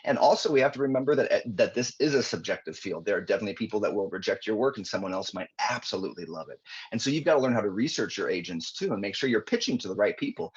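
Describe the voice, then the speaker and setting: A man talking as a guest author in a podcast interview, earnest and animated, often restarting his sentences. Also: lowish voice, husky. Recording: dull lo-fi audio.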